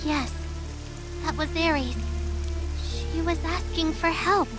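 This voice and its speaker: Fantasy game role: young girl, tiny high voice, earnest and emotive, loud score behind. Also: slow pace, music in the background. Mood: earnest, worried. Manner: polite, emotive. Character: earnest, gentle young girl, pleading and loyal. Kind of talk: anime fantasy game voice acting.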